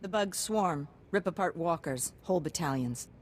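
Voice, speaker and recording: Actress as a low-voiced, brisk military scientist. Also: female voice, lively intonation, slight background noise, natural voice. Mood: grim, briefing. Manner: clipped, businesslike. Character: brisk, pragmatic military science commander, hard-nosed woman. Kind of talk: video game character acting.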